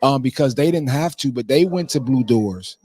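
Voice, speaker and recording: A man's voice: mid-range voice, expressive, normal speed, dark tone. A man being interviewed casually as a playwright and producer, talking informally and punctuating his thoughts with "man" and "you know".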